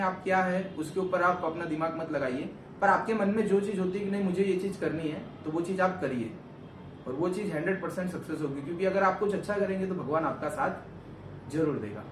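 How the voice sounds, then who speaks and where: A young man talks through his own story in a loose, rambling, earnest way, often circling back to restate a point. His voice sits a little high. He sounds set back from the mic in an echoey room, with steady noise behind him. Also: distant mic. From Gujarat, India. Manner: rambling, earnest, self-correcting. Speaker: vlogger answering questions. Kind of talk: casual interview chat.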